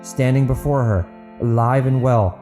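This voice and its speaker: Guy narrating his story podcast, mellow midrange voice, faint music behind him. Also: mid-range voice, unhurried. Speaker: author, podcast host and narrator. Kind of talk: dramatic audiobook narration and podcast outro.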